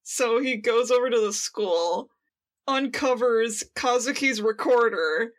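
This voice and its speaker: A woman's voice: fairly high pitch, animated, takes it slowly. A woman co-hosting a podcast, retelling things in slangy casual chat and acting out quoted lines. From United States. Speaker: podcast co-host.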